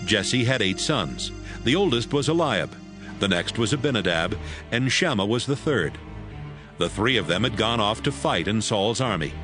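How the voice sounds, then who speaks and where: A man narrating a dramatized audiobook as a scripted storyteller. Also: on the low side. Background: music.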